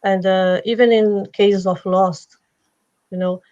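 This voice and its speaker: A woman hosting a video call panel. She asks long questions haltingly, with many fillers and restarts. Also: medium pitch, steady tone, mellow, muffled recording. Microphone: video call webcam.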